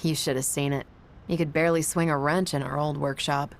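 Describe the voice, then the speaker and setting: An actress playing a brash, loyal ace test pilot in a video game, speaking in a casual, confident, plain-spoken way. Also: female voice, sits low, fairly even intonation, brisk, vocal fry, slight background noise. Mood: nostalgic. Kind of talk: dramatic video game cutscene acting.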